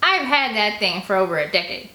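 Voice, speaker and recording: A woman voicing a gruff, scornful country woman with a put-on rustic, slightly piratical accent in an audiobook. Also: mid-range voice, sing-song, brisk, pushed and bright, roomy sound. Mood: defensive.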